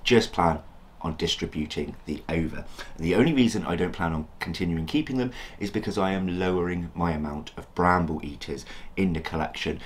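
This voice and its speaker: Croaky guy in his 30s hosting a bug vlog, low voice, chatty and excited, at his desk with a faint hum behind. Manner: chatty, enthusiastic, self-deprecating. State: croaky from a sore throat. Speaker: channel host, insect keeper. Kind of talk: casual educational vlog. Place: indoor room full of insect enclosures.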